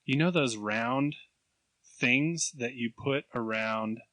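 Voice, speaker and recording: Bright-voiced man telling lively stories. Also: medium pitch, slow, no echo. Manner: animated storyteller who acts out voices and laughs often. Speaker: podcast co-host. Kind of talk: casual chat, storytelling.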